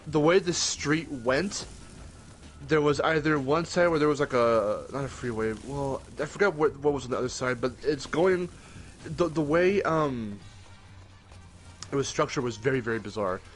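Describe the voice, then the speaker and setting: A man's voice: mid-range voice, normal speed. A young man talks with a groggy, worn morning voice, the way a podcast co-host chats casually. He rambles, backs up to correct himself and drops the odd swear. Faint music plays underneath him. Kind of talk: casual chat.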